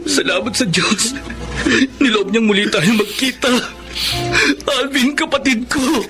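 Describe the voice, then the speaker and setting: A man's voice: very high-pitched, unhurried, over loud music. An actor playing an earnest, devout young man in a Filipino radio drama, speaking with heartfelt, respectful emotion and a Filipino accent. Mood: tender, moved. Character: earnest adult older brother, a pianist, tearfully devout. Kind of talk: Filipino radio drama acting and first-person narration.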